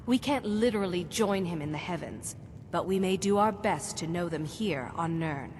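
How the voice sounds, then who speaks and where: A woman's voice: moderate pace, a little mellow, lightly acted. A woman playing an earnest, devout priest in a fantasy game, speaking in a solemn, matter-of-fact way. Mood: reverent. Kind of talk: fantasy video game acting. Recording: reverberant hall, faint rumbling noise.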